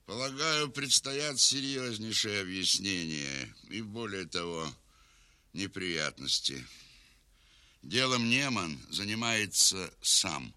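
Severe Soviet staff officer, piercingly bright, lowish voice, clipped, official, audio drama. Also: male voice, lively intonation, slow, smooth, full character voice. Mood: severe, warning. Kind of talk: Russian audio drama acting.

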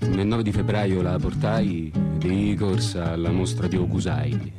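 Male storyteller, very low voice, ironic and disenchanted scripted delivery, prominent plucked guitar behind. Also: unhurried. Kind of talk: scripted first-person narration with music. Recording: prominent music under the voice. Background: plucked guitar music.

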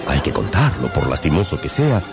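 A man's voice: extremely low pitch, sing-song, moderate pace, a loud crowd. A man narrating a literary radio novel in a theatrical storytelling manner. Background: music.

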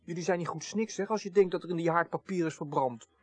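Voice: medium pitch, fast, husky, no echo, close to an everyday voice. An actor playing a blunt, shrewd and cheeky twelve-year-old boy in a radio drama. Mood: pert, contrary. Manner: blunt, pert, informal.

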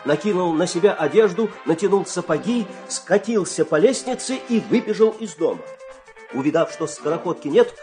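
A man narrating a children's fairy-tale radio play with dramatic, expressive storytelling delivery. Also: sits high, normal speed, soft background music.

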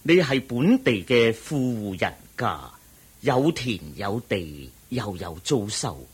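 An actor playing a solemn, humble ascetic Buddhist monk who teaches patiently in measured Hong Kong Cantonese, in radio drama style. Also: male voice, mid-range voice, very animated, slightly rough, full character voice. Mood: gentle, firm. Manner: measured, deliberate, didactic.

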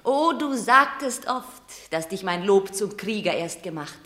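A woman's voice: mid-range voice, lively intonation, a little slow, sharp tone, echoey room. An actress playing a shrewd, commanding mother urging her son in a radio drama.

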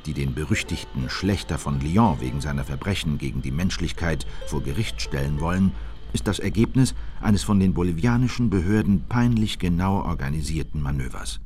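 Male actor as grave official narrating his memoir: very low voice, measured, formal, music underneath. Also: darkish tone, lightly acted. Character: grave male Bolivian official recounting a manhunt, disapproving. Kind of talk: scripted radio drama narration.

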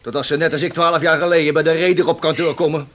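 An actor playing a hot-tempered young sailor in a radio play, scornful and combative. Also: male voice, on the high side, steady tone, quick pace, a bit bright. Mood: defiant, bitter. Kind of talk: theatrical radio play acting. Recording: older radio drama recording.

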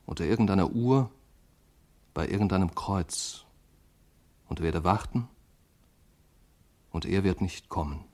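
An actor playing a sensitive, lovelorn young poet in a literary radio drama, formal and fervent. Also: male voice, on the low side, darkish tone.

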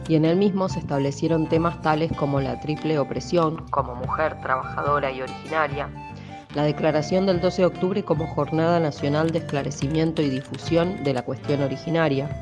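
Low-voiced Argentine woman narrator over music. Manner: formal, read aloud. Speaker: narrator of documentary radio program. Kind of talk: scripted documentary narration.

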